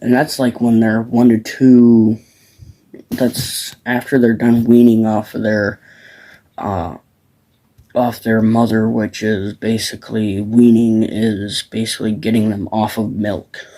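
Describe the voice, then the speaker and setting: Teenage boy, slow rambling delivery, low-pitched, outdoors on a farm. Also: little pitch movement, mellow, rough recording. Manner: rambling, many fillers and restarts. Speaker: farm vlogger. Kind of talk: casual rambling talk.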